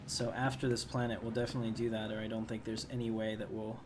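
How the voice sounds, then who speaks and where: A man's voice: fairly low pitch, level tone, quick pace, over music. A man giving casual, chatty gameplay commentary, thinking aloud to his viewers as he plays. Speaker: gamer hosting a playthrough.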